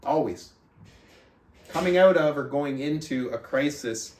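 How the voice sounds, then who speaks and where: A man's voice: fairly high pitch, measured pace, a little mellow, slight background noise. A man hosting a book club, reading aloud and giving motivational coaching talk, putting questions to his listeners. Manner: addresses listeners with rhetorical questions. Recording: roomy echo, distant from the mic.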